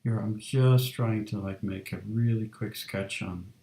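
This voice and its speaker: Mellow gravelly-voiced man chatting while drawing. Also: fairly low pitch, roomy sound. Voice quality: soft, relaxed and unhurried. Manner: chatty, lots of fillers, reads chat. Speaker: streamer drawing fan art. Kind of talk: casual live-stream chat while drawing. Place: home studio room.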